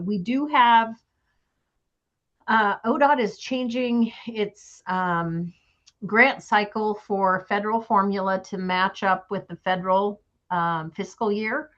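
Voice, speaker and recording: A woman giving a transit funding update at a board meeting, losing her voice, halting with frequent ums. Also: mid-range voice, a bit bright, highs cut off.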